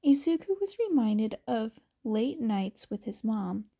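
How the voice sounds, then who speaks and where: A woman's voice: a little high, very animated, slow, mellow. A woman reading a story aloud as scripted, audiobook-style narration. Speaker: audiobook-style fan fiction reader.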